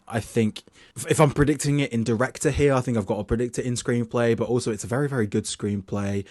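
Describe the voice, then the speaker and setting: A man's voice: lowish voice, a little mellow, no room sound, clean studio sound. A young man casually talking through his film award predictions, chatty and loose, with plenty of restarts, reading from his notes. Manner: reads his list off notes.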